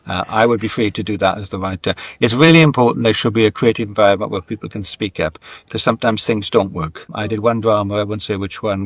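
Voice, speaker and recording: A man's voice: on the low side, no room sound, muffled recording. A man being interviewed as a guest writer, telling anecdotes conversationally and often repeating words as he feels his way through an explanation. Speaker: guest, screenwriter and novelist.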